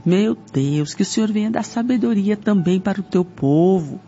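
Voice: mid-range voice, moderate pace, dark tone. A Brazilian prayer leader pleading fervently in an extemporaneous evangelical prayer, punctuated by repeated invocations. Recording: dull lo-fi sound with music behind. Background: music.

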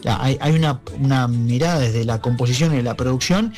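A man with an Argentine accent speaking as a musician guest in an interview, reflective and halting, often checking for agreement. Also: medium pitch, a little mellow, soft background music. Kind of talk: conversational interview.

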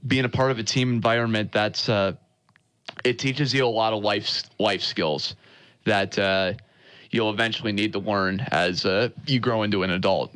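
A young man speaks as a guest on a local radio show, earnest and a little halting, filling pauses with "uh" and restarting his sentences. His voice sits fairly low and comes across smooth and resonant. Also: a bit bright. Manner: earnest, many fillers and restarts. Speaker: new play-by-play broadcaster.

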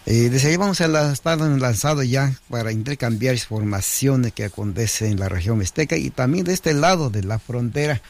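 A man's voice: mid-range voice, moderate pace, gravelly, few pauses. A man hosting a bilingual community radio program, from Oaxaca, Mexico, who greets listeners formally and reads out long lists of villages. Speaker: radio host.

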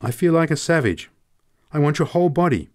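A man's voice: on the high side, expressive, brisk, darkish tone, pitched above the performer's own voice, natural voice. A man reading a novel aloud, voicing an anguished, lovesick man who is bitter and passionate. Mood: impassioned. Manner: pleading and sneering by turns, emotional. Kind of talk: audiobook character reading.

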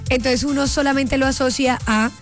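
A woman co-hosting a casual chat show, playful and bawdy, telling lively stories. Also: sits high, normal speed, faint music behind. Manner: playful, bawdy, animated storyteller. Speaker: co-host.

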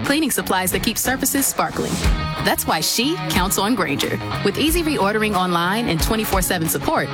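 A woman narrating a commercial with a smooth, storytelling lilt. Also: fairly high pitch. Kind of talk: scripted ad narration. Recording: music bed behind voice. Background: guitar music.

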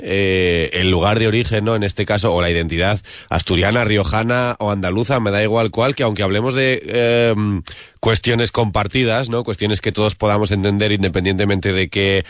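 A man interviewing a guest, asking long, thoughtful questions at a measured pace with frequent hesitations. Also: lowish voice, steady tone, fairly bright tone, no room sound, studio quality.

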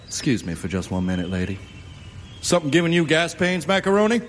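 A man's voice: a little high, sing-song, quick pace, long reverb tail, a little hiss or hum. An actor playing a sneering, bullying salesman who talks in a mocking, contemptuous way. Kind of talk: 1950s film drama acting.

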